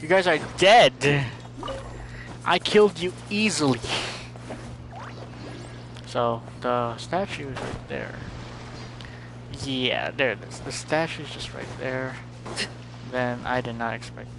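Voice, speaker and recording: A man's voice: medium pitch, expressive, takes it slowly, vocal fry, long pauses, rough recording. A man doing casual live gameplay commentary as a streamer, muttering to himself and repeating his phrases. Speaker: game streamer.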